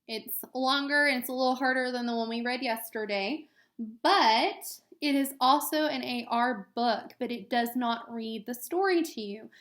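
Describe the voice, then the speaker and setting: A woman, a teacher, reading a picture book aloud to children in an expressive storytelling way. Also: on the high side. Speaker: elementary school teacher. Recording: echoey room sound.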